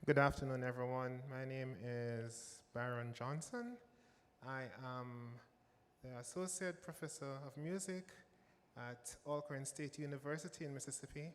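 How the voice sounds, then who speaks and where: A man's voice: medium pitch, slight background noise. A man with a Jamaican accent, a music professor speaking on a panel, emphatic and given to repeating phrases for stress. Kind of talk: panel remarks. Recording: reverberant hall with faint ambience.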